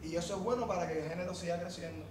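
A man's voice: sits high, racing. A man co-hosting a podcast, explaining music industry feuds at length in casual chat. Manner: explains at length, animated when stressing points. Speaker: podcast co-host. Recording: roomy echo, distant from mic, faint noise.

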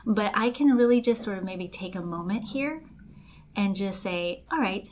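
A woman's voice: medium pitch, normal speed, darkish tone, slight background noise. A young woman raised in Los Angeles, co-hosting a podcast as a life coach and explaining ideas in casual chat, full of fillers. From Los Angeles, raised by Colombian family. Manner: chatty, many fillers like "like" and "kinda".

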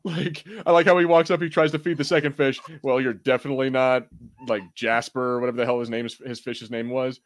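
A man's voice: medium pitch, flat delivery, fast. A man co-hosting a podcast, chatting informally in a rambling, sometimes sweary way. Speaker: podcast co-host. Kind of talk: casual chat, episode review banter.

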